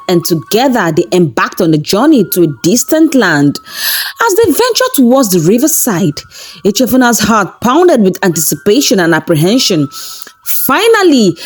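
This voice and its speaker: A woman narrating a scripted folktale in a dramatic, expressive storytelling manner. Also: mid-range voice, breathy edge. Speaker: storyteller, channel host. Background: background music.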